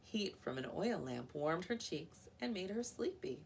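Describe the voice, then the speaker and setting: Animated woman reading aloud, slightly creaky voice. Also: fairly low pitch, measured pace, far from the mic, slight background noise. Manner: expressive, prompting listeners with questions. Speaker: preschool teacher. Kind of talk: animated read-aloud of a picture book to young children.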